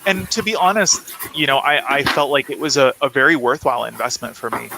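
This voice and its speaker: A man's voice: medium pitch, brisk. A man from South Carolina speaking conversationally as an interview guest, explaining things reflectively. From South Carolina, United States. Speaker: guest author. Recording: clear background noise on livestream.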